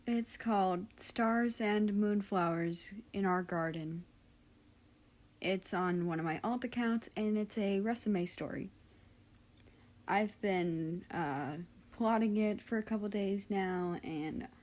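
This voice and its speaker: Female story reader and host, unhurried delivery, soft-edged mellow tone, casual and scripted. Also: medium pitch. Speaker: audiobook-style reader and channel host. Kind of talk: scripted story narration and casual outro chat.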